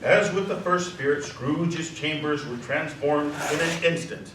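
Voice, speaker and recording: A man narrating a scripted story dramatically, savoring the descriptive words with expressive delivery. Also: fairly high pitch, measured pace. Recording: echoey hall, distant mic, faint noise.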